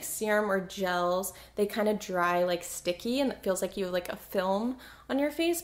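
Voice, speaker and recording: Young woman, beauty vlogger, chatty, enthusiastic, lots of fillers, mid-pitched voice. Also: fairly bright tone. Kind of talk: casual skincare review to camera.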